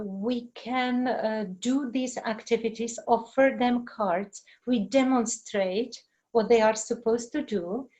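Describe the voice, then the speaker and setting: A middle-aged woman with a Czech accent, a native Czech speaker, teaching in an informal webinar with halting, searching delivery. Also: a little high, darkish tone, dull audio. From Czech Republic. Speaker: language teacher giving a webinar. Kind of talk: informal lecture with explanations and answers.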